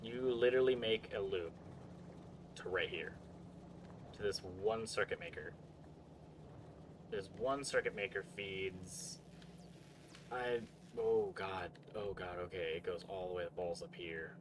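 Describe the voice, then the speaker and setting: A man's voice: deep voice, measured pace, long pauses, noisy background. A man streaming a building game, talking to himself as he works through problems and greeting chat now and then. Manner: mumbling to himself, musing over puzzles, greets chat. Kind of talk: casual live-stream commentary while playing.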